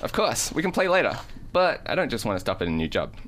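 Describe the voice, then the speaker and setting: A man's voice: mid-range voice, very animated, fast, a bit bright, slight background noise, pitched above the performer's own voice. A man acting a mild-mannered, weary school teacher in radio play dialogue, earnest and a little hesitant. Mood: wistful.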